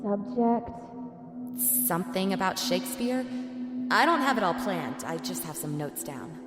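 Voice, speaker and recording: A woman's voice: mid-range voice, lively intonation, unhurried, lightly acted. A woman playing bickering teenage twin sisters in an audio drama, sarcastic and exasperated, in a roomy reverberant recording. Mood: tense bargaining. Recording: roomy long reverb under loud music.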